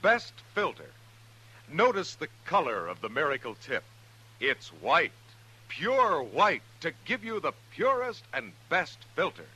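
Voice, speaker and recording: A man's voice: fairly high pitch, sing-song, a little slow, bright, forceful tone, breathy edge, low-quality recording. A man announcing a 1950s radio commercial with punchy, emphatic salesmanship. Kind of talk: 1950s radio advertising pitch.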